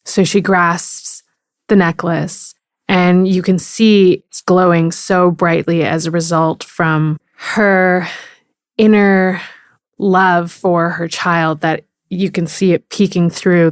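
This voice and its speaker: A woman with a slightly low voice tells her character's part in a tabletop game. She speaks slowly and descriptively, in a very dry, close recording. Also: level tone, no echo.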